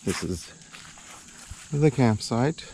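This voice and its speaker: A man's voice: medium pitch, animated, drawn-out words, vocal fry, mellow, poor audio. A man narrating a casual camping vlog outdoors, rambling and hesitant, with easy chuckles. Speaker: channel host. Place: outdoors at a campsite. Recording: outdoor background noise.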